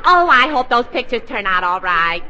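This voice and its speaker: An actress playing a flattered, excitable middle-aged single lady in a theatrical, gushing 1940s radio comedy style. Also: on the high side, moderate pace, exaggerated voice. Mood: giddy, chatty. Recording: noisy, dull 1940s radio recording.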